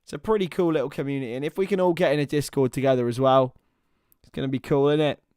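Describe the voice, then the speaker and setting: A man's voice: medium pitch, fairly even intonation, fast, darkish tone, clean tone, no echo, clean studio sound. A young man chatting with viewers and calling a football match live on a watchalong stream. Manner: chatty, jumps between match calls and banter with chat. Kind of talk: casual live commentary and chat with viewers.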